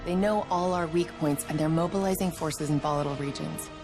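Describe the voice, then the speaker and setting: A woman's voice: low voice, fairly quick, over loud music, natural voice. A woman playing a businesslike intelligence operative in a video game cutscene, briefing in a matter-of-fact way. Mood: grave, firm. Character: businesslike, hard-headed female intelligence operative.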